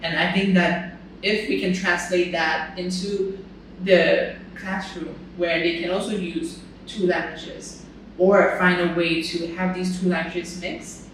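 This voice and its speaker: Relaxed man teacher in an echoey room. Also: high voice, slow. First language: Thai and English. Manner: relaxed, thoughtful, with small restarts. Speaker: podcast co-host and tutor. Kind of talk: casual podcast chat. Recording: echoey room, distant mic, some noise.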